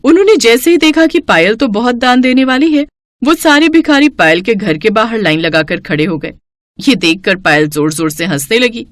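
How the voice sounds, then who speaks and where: A woman's voice: a little high, expressive, normal speed, no room sound, clean studio sound. A woman narrating a scripted moral story in a storyteller's style.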